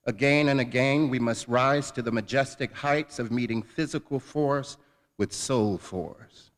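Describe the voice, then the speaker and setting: A man delivers a formal, dramatic speech reading. His voice sits at a medium pitch and echoes through a large hall. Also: unhurried. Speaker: guest reader at a commemorative event. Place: large indoor hall at a college event. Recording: reverberant hall, long echo.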